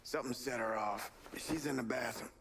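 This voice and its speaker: An actor playing a dazed, injured ally in a game, groggy and halting. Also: male voice, mid-range voice, gravelly, fairly bright tone, slight background noise.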